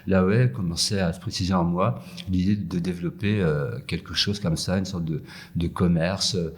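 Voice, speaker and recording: A man from France with a very low, husky voice talks slowly through interview answers. He hesitates constantly, fills pauses with "euh" and restarts his phrases. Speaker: guest, food entrepreneur. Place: empty yoga room with echo.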